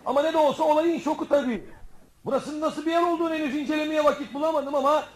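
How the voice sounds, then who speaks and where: High-voiced, breezy trapped husband. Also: male voice, steady tone, very fast. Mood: reassuring. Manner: reassuring, breezy. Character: swallowed husband calling cheerfully from inside crocodile's belly. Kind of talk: Turkish radio theatre comedy acting. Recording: noisy old radio drama recording.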